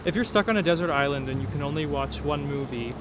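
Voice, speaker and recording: Man, interviewer, fairly high voice, brief upbeat questions, outdoor traffic noise. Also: quick pace, lo-fi sound. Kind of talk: quick-fire interview questions. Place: outdoors on a city street.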